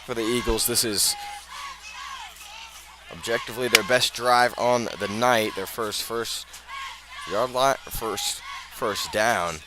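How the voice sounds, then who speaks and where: A man doing live radio play-by-play of high school football, often halting and correcting himself as he goes. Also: steady tone, noisy background. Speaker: play-by-play sports announcer.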